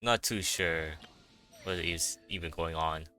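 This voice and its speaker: A man's voice: low voice, takes it slowly, bright, forceful tone, faint music behind. A man giving casual gameplay commentary as a streamer, informal, muttering short reactions. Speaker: game streamer.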